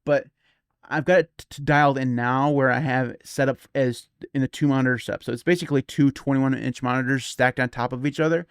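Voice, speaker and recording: A man's voice: mid-range voice, fairly even intonation, brisk, clean tone, no room sound, studio quality. A man of forty chatting casually as a podcast co-host, rambling with many restarts and easy laughs. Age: 40s. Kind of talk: casual tech chat.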